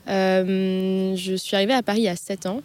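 A woman's voice: mid-range voice, little pitch movement, normal speed, darkish tone. A woman in her mid-twenties from Lebanon, chatting as a guest in a casual interview, hesitant and full of fillers, holding a handheld mic outdoors in a park. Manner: hesitant, many fillers and restarts.

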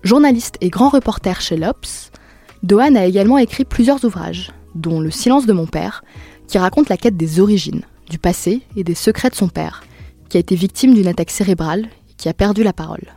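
A woman from France hosting a podcast, reading a scripted introduction clearly and steadily, then asking questions. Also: medium pitch, brisk, mellow, no room sound, faint music behind. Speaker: podcast host.

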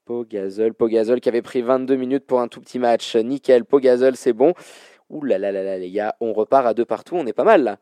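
A man with a mellow, relaxed voice hosts a sports trivia game. His delivery is informal and full of colloquial slang, and the sound is close and dry. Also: medium pitch, fairly quick, dry recording. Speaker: quiz show host. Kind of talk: hosting a basketball trivia quiz, casual banter.

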